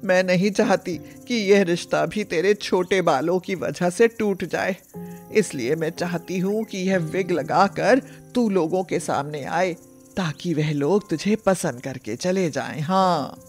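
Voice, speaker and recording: A woman plays an anxious middle-aged mother in a cartoon moral tale. She talks slowly, in a coaxing, pleading manner. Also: lowish voice, darkish tone, faint music behind. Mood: coaxing, insistent. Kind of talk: animated moral story dialogue.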